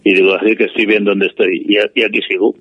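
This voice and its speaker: A man's voice: fairly low pitch, flat delivery, fast talker, breathy edge, dry recording, dull audio. A man, a supporters' club president talking bluntly and plainly in a radio interview. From Madrid, Spain. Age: 80s. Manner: blunt, plain-spoken, opinionated.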